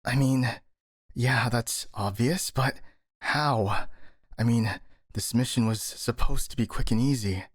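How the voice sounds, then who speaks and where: A man's voice: mid-range voice, slow pace, breathy edge, dry recording, clean studio sound. A man playing a skeptical, worrying captured master ninja, sighing and hesitant, in a scripted ASMR audio roleplay. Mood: exasperated.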